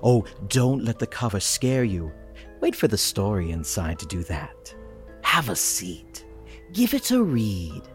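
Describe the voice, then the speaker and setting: Creepy, teasing shopkeeper host of horror audio drama, very animated pitch, insinuating drawl. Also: male voice, a little slow, faint music behind. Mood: sly, teasing. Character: sly, teasing comic shop keeper who claims psychic powers. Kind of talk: theatrical horror host narration.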